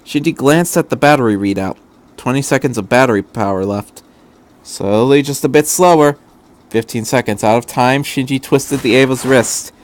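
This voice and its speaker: A man's voice: medium pitch, moderate pace. A man reading fan fiction aloud as an amateur narrator of a scripted story.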